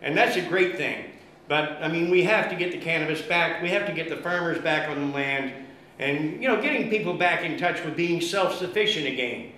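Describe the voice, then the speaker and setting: A man speaks loudly and fervently to a meeting, rallying the listeners and peppering his talk with fillers. His voice sits high. The room echoes heavily around him, with a faint hiss underneath. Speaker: guest speaker at an activist meeting. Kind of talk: impassioned public speech.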